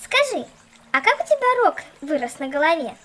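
A woman's voice: extremely high pitch, sing-song, moderate pace, bright, forceful tone, full character voice. A woman voicing a sassy, skeptical little doll girl in a children's cartoon, with a sarcastic, exclamatory manner. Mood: cheeky.

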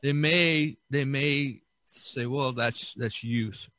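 A man's voice: medium pitch, takes it slowly, sharp tone, no room sound. A man in his sixties hosting a podcast, giving casual advice in a rambling, hesitant monologue with frequent asides. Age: 60s. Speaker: podcast host.

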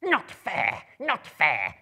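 Casting: a hungry, slimy cave creature, hissing, very husky and drawn-out, spiteful and wheedling, for a storybook. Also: male voice, on the high side, wildly swooping pitch, bright, forceful tone, voice pushed up, full character voice. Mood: upset, hissing. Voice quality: hissing, raspy. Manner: drawn-out, wheedling, muttering to himself. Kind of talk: dramatic storybook reading.

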